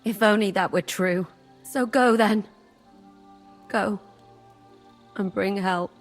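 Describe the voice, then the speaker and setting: A woman's voice: medium pitch, steady tone, slow, faint music behind. A woman playing a bitter, emotionally wounded young medieval woman in a game, weary and full of feeling. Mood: sorrowful. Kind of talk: dramatic game cutscene acting.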